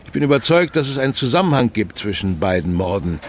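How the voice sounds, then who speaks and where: An actor playing a probing, ironic magazine editor in a radio drama, with pointed, insistent questioning. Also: male voice, medium pitch, very animated, quick pace, slight background noise, natural voice. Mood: intent.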